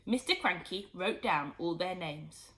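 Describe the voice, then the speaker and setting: A woman reading a children's storybook aloud in expressive, scripted narration. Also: on the low side, a little slow, rough recording. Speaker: storybook reader.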